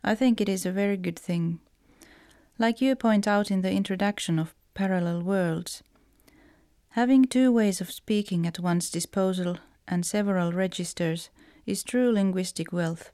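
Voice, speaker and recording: A woman's voice: mid-range voice, normal speed, dark tone, smooth, no room sound, clean studio sound. A woman from Finland with a Finnish accent, a writer reading personal letters aloud.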